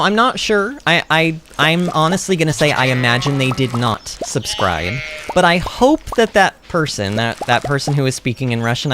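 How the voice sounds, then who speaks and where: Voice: medium pitch, fairly bright tone, a little hiss or hum. A streamer casually rambling and answering chat during a game livestream, speaking animatedly and emphatically as a native English speaker. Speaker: livestreamer playing a farming game.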